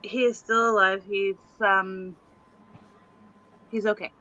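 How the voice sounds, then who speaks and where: A woman chatting casually on a livestream panel in a halting way. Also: medium pitch, little pitch movement, bright, forceful tone. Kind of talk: casual chat. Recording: dull, lo-fi microphone sound.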